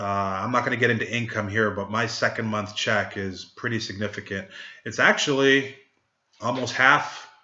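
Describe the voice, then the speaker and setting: Jokey, upbeat recruiter man from Trinidad; bright tone, echoey lo-fi room. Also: mid-range voice. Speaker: network marketing recruiter. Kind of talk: casual sales pitch to camera.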